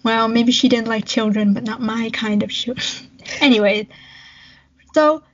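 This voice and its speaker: A woman's voice: on the high side, steady tone, unhurried, slight background noise. Young woman, chatty lo-fi podcast host. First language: Cantonese. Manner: chatty, laughs often, storytelling. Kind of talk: casual podcast chat.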